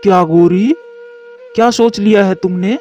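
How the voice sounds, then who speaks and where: A man's voice: sits high, a little slow, darkish tone. A man voicing a lazy, scheming crow husband in a children's cartoon. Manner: scheming, work-shy. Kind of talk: Hindi children's cartoon voice acting. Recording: dull lo-fi audio with music behind.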